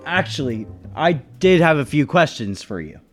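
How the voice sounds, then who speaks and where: A man's voice: a little high, very animated, moderate pace, faint music behind. A man acting an inquisitive, uneasy traveling businessman who narrates a 1930s-style radio mystery. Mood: casual. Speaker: lead actor and narrator.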